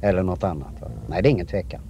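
A man interviewed as an eyewitness, recounting from memory haltingly and repeating words for emphasis. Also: deep voice, dark tone, slight background noise.

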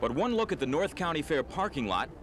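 A man's voice: on the high side, big pitch swings, fairly quick. Male news reporter over street noise. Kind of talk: scripted feature news narration. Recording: noisy 1980s TV field tape.

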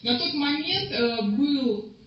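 Woman, ex-powerlifter guest, matter-of-fact, slightly high voice, very echoey. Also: expressive, takes it slowly. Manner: matter-of-fact, reciting numbers. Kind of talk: casual livestream chat. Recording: very echoey room, far from mic, dull.

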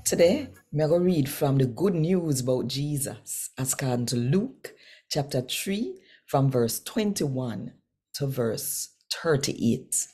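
An older woman with a Jamaican accent reading scripture in Patois in a measured, deliberate way. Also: very deep voice, animated, mellow, breathy edge, faint music behind. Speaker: Bible reader and presenter. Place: small home room, indoors.

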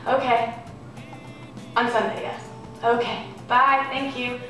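A woman's voice: on the high side, little pitch movement, slow pace, a bit bright. A teenage girl student setting up and asking interview questions, hesitant, with many ums. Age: teens. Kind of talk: polite phone call and interview questions. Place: indoor room. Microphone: camera across the room. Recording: echoey room, faint music.

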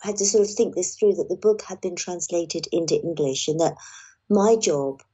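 A woman's voice: fairly low pitch, normal speed, mellow, husky. A woman speaking thoughtfully and hesitantly as an audiobook narrator being interviewed. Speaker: audiobook narrator, podcast guest. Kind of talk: reflective interview talk.

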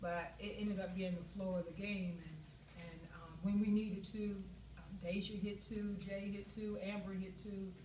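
A middle-aged woman coach answering questions at a post-game press conference in a measured, thoughtful way, often pausing with "you know". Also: mid-range voice, level tone, warm tone, recorded from a distance. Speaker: head basketball coach. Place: press room with backdrop, seated at table. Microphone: table mic, recorded from a camera across the room. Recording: echoey room recorded from afar, noisy.

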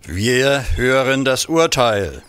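Man playing a bright-voiced stern, slow judge. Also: medium pitch, big pitch swings, a little hiss or hum. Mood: stern, solemn. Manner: formal, commanding, slow. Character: stern, solemn 19th-century male judge presiding over an execution. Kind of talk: radio play acting.

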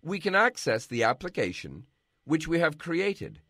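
A man giving scripted, measured narration for a software tutorial. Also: on the high side, sing-song, dry recording, clean studio sound. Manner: measured, instructional.

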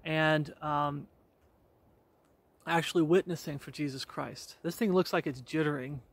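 A middle-aged man with a slightly higher voice preaches as he walks along a wooded country road. He exhorts his listeners in a repetitive, earnest way, full of fillers and restarts. The sound comes from a camera he holds at arm's length outdoors. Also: measured pace. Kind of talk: walk-and-talk devotional preaching. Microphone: handheld camera at arm's length.

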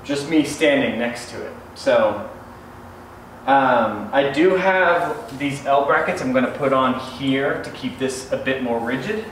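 A young man talking casually and a bit haltingly to camera, explaining a DIY job as a vlogger. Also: medium pitch, fairly bright tone, slight background noise, low-quality recording. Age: young adult. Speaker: DIY bus conversion vlogger.

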